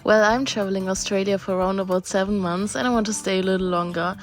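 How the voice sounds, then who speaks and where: A woman's voice: medium pitch, steady tone, fast talker, soft background music. A young German woman with a German accent, hosting and narrating a casual interview with housemates in a friendly, chatty way.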